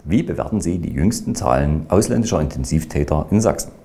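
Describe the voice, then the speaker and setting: A man's voice: extremely low pitch, animated, fairly quick, warm tone, slight background noise. A man reading out short, scripted interview questions.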